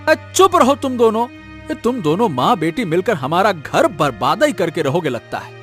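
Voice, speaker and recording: A man playing a naive, excitable village farmer father in a cartoon, flustered and quick to scold. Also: high voice, soft background music. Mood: angry, scolding. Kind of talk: Hindi cartoon voice acting.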